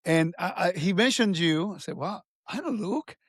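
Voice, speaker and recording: A man in his fifties from Puerto Rico speaks with a slightly raised pitch, recounting his life in an earnest, halting way. The sound is close and dry, with no room around it. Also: animated, dry recording. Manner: earnest, with frequent restarts and fillers. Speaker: guest. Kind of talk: conversational life story.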